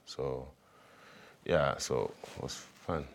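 Young Tanzanian man, extremely deep voice, soft-spoken, halting answers. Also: fairly even intonation, a little hiss or hum. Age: young adult. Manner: soft-spoken, halting, simple phrasing with fillers. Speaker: college basketball player guest. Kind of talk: casual interview answers.

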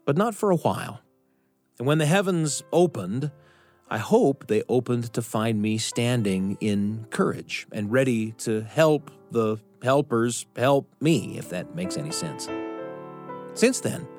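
A middle-aged man narrates a personal story in an earnest, reflective manner, pausing deliberately for emphasis. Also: medium pitch, lively intonation, darkish tone, faint music behind. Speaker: radio storytelling show host.

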